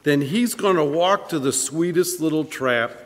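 A man's voice: a little high, normal speed, natural voice. Scheming small-time gangster, male actor, tough-guy slang, live radio drama in a reverberant hall.

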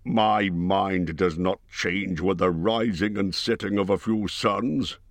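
A man voicing a proud, wrathful male dwarf king, speaking slowly and forcefully. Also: sits low, steady tone, no echo. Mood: haughty. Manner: slow, stern, forceful delivery.

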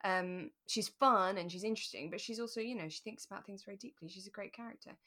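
A woman's voice: mid-range voice, fast, sharp tone. British woman podcast co-host, casual chat, tells stories with little quoted asides. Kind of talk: relaxed chat about a radio drama.

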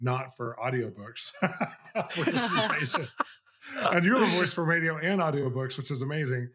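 A man hosting an interview show, reading a scripted intro and then chatting quickly and enthusiastically with guests. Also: a little high, lively intonation, a bit bright, slightly rough. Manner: fast-talking, enthusiastic. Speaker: podcast host.

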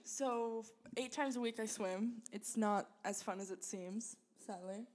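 A Swedish-born teenage girl with a fairly high voice co-hosts a school podcast. She talks casually and rambles, giggling and fixing her words mid-sentence. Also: measured pace, darkish tone. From Sweden. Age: teens. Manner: giggly, rambling, self-correcting. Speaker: podcast co-host. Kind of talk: casual chat. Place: crowded classroom.

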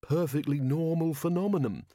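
A man's voice: on the high side, normal speed, mellow, dry recording, studio quality, voice pushed up, natural voice. Man as a sneering, muttering sceptic constable. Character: dour, irritable, sceptical male constable who debunks miracles.

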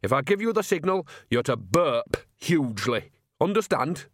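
A man voicing a blunt old village matriarch, brisk and commanding, in an audiobook. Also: a little high, sing-song, dry recording, clean studio sound, voice pushed up. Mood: bossy.

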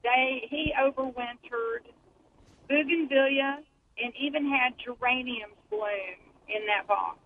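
A woman's voice: high voice, slow, narrow, tinny audio, slight background noise. A woman with a Texan accent calling in from her car, telling a rambling story in casual chat. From Texas Panhandle. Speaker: caller. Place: driving in a car. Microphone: phone.

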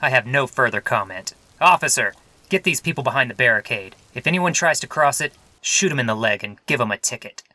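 A man playing a weary, melodramatic police detective in a parody noir radio play, wordy and deadpan. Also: mid-range voice, fairly quick, echoey room. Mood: exasperated.

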